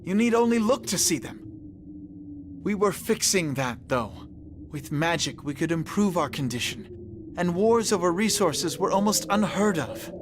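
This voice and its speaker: A man playing a noble, idealistic young king in a video game, speaking formally with measured earnestness. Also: sits high, lively intonation, noisy background. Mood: earnest. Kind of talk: English video game voice acting. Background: music.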